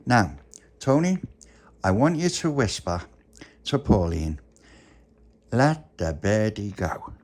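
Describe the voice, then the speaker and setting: A man's voice: on the low side, very animated, takes it slowly, pauses often, darkish tone, voice pushed up, exaggerated voice. Man acting a bossy, coaxing street magician. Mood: gently instructing. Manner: coaxing, commanding, showman-like patter. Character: friendly, bossy male street magician giving instructions.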